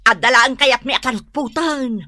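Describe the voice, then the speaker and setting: An actress playing an indignant, whiny, gossip-loving village wife in a comedy radio drama, complaining in animated exclamations. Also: female voice, high voice, moderate pace, no room sound. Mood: grumbling.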